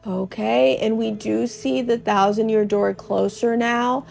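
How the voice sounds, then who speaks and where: A woman hosting a game podcast, narrating play dramatically with drawn-out, descriptive phrasing and a sense of wonder. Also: fairly high pitch, fairly even intonation, creaky, darkish tone, faint music behind. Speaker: podcast host playing a video game.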